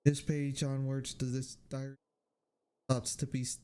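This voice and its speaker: A man reading game dialogue aloud on a livestream in a halting, subdued way. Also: mid-range voice, completely flat delivery, mellow, clear, resonant tone. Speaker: game streamer.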